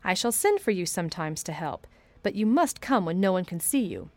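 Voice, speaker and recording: A woman voicing a proud, imperious sickly boy who speaks in sharp, commanding tones, as she reads a storybook aloud. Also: on the low side, sing-song, brisk, dry recording.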